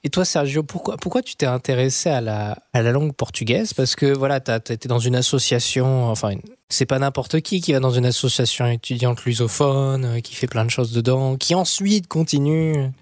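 A man's voice: medium pitch, normal speed, few pauses, dry recording, clean studio sound. A man, a radio interviewer, asking questions in a friendly, informal way.

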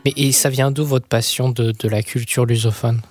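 A man's voice: mid-range voice, steady tone, normal speed, over music. A man, a radio interviewer, asking questions in a friendly, informal way.

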